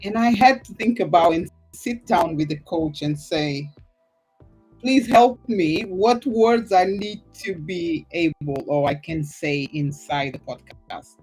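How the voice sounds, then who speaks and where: A woman's voice: fairly low pitch, slow pace, a little mellow, slightly rough, soft background music, poor audio. A woman from Portugal with a Portuguese accent, a podcast host who speaks English as a second language, laughing often and searching for words. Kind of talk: casual interview chat.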